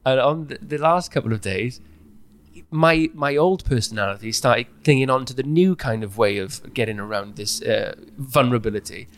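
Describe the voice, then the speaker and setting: A man in a candid, personal conversation, talking in an animated way and often acting out his own thoughts. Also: mid-range voice, moderate pace. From Wales.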